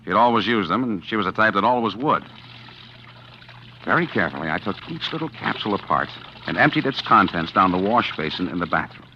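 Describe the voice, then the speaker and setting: A man's voice: lowish voice, expressive, a little fast, sharp tone, a little hiss or hum. An actor playing a calculating man who narrates his plan to poison his wife in a 1940s radio drama, hard-boiled and confiding, with nervous stammers. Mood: brooding. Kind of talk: first-person narration in 1940s radio acting. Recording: dull 1940s radio recording with music underneath. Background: music.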